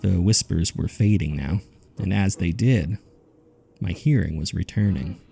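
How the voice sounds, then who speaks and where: A low-voiced man with a heavy gravelly creak tells his horror story as a haunted survivor. He speaks gravely and deliberately, in a dark, subdued tone, like a scripted audio drama narrator. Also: a little hiss or hum. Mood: shaken, haunted. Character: haunted man recounting a terrifying ordeal.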